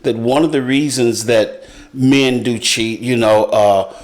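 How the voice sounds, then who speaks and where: A middle-aged man in a casual panel discussion, speaking haltingly and repeating his words as he thinks. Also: mid-range voice, fairly even intonation, darkish tone. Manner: halting, repeats words, often says "you know". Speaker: panelist on a talk show.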